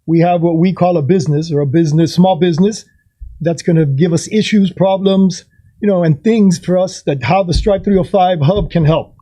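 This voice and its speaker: Man, fairly high voice, mellow tone, talkative co-host, casual. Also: moderate pace. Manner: eager, talkative, jumps in to add points. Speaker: podcast co-host. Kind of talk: casual chat.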